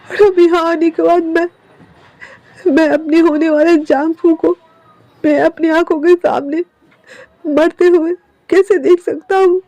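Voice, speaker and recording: A sobbing, frantic young man, his high voice breaking as he begs for forgiveness, as a woman storyteller puts him on. The tone is mellow and close, dry with no room sound. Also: steady tone, a little slow, pauses often, dry recording. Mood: remorseful sobbing. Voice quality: sobbing, pleading. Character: grief-stricken young man Wing, desperate and sobbing.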